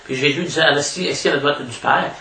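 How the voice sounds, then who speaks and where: Gravelly Québécois man preaching, echoey room. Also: a little high, bright, forceful tone. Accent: Québécois French. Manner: halting, repeats words, frequent "hein" tags. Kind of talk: informal extemporaneous spiritual lecture. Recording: echoey room, distant mic, faint noise.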